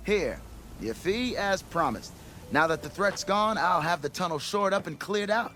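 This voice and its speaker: An actor playing a cheerful, boastful expedition leader in a video game, florid and flattering, breaking into sudden laughs. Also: male voice, high voice, moderate pace, slight background noise. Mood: jubilant. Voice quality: theatrical, florid. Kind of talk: video game cutscene acting.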